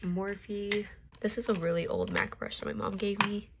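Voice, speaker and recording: A woman's voice: medium pitch, very animated, moderate pace, very noisy. A teenage girl with a stuffy, runny nose, chatting casually through a vanity tour at home, rambling with lots of fillers. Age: teens. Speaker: makeup vlogger. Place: bedroom at home. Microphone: phone camera.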